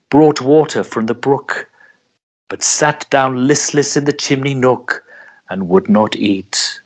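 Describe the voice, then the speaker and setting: A man narrating a poem in a theatrical, measured storytelling style. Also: medium pitch, poor audio. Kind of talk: dramatic poetry reading, storytelling.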